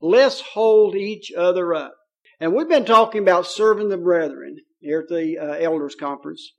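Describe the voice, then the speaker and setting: A man's voice: sits high, normal speed, darkish tone. A man with a Kentucky accent preaching a sermon in a folksy, joking way, with many fillers. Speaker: pastor.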